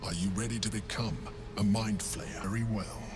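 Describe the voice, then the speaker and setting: Man voicing inhuman mind flayer mentor, low-pitched, slow, solemn, coaxing, commanding, video game cutscene with music. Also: fairly even intonation, natural voice. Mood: solemn, persuasive.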